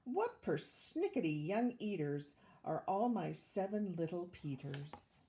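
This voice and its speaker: A woman's voice: lowish voice, sing-song, slow, dark tone, a little hiss or hum, full character voice. A woman voicing a patient storybook mother who exclaims fondly to herself in sing-song rhyme. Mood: weary. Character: sweet, patient, increasingly weary mother of picky children.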